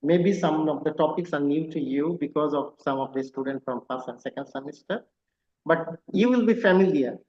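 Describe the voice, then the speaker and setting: A man's voice: on the high side, moderate pace, reverberant, highs cut off. A man from Bangladesh, co-hosting an online lecture and giving formal, courteous closing remarks with many hesitant fillers. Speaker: co-host, visiting assistant professor.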